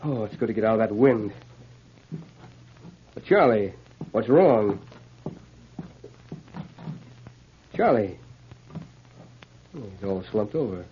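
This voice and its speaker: An actor playing an obliging, earnest frontier cabin dweller in a radio drama, with plain, folksy speech. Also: male voice, on the low side, animated, a little slow, pauses often. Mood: hearty, concerned. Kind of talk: 1940s radio acting. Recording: noisy, muffled old radio recording.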